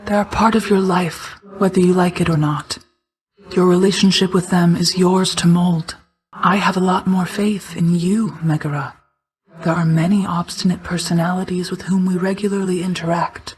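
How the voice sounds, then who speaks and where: Woman acting as stately night goddess, lowish female voice, measured formal delivery, restrained, protective, solemn, video game dialogue. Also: darkish tone, natural voice.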